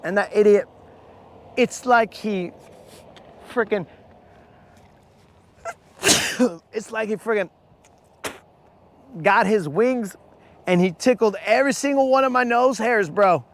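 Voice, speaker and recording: A man hosting a live fishing stream from a boat, talking casually with viewers in a hoarse voice. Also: high voice, slow, pauses often. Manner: casual, slangy, calls viewers bro. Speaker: fishing channel host. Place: outdoors on a boat on a lake.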